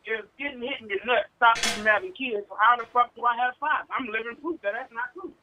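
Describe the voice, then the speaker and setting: A man's voice: very high-pitched, fast, sharp tone, muffled recording, a little hiss or hum. A man on a call, rambling and a bit defensive about his own story. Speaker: caller.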